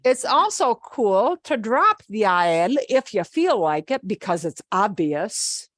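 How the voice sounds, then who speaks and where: A woman's voice: medium pitch, sing-song, a little slow, fairly bright tone, no echo, clean studio sound. A woman Spanish teacher with a Midwestern American accent, patiently explaining a lesson over a video call with fillers and restarts. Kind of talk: online class lesson.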